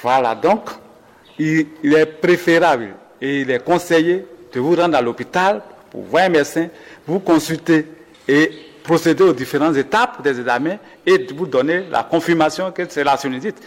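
A man's voice: fairly high pitch, normal speed. Guy doctor answering questions, lots of euh and restarts, kinda echoey long reverb. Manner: hesitant, many fillers and restarts, advising listeners. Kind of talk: health interview on radio.

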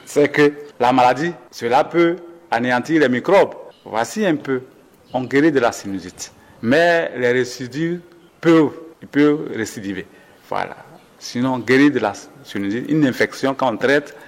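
A male doctor giving health advice in an interview, hesitant and full of fillers and self-corrections. His voice sits mid-range in pitch. The sound trails off in a long reverb. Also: normal speed. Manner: hesitant, many fillers and restarts, advising listeners.

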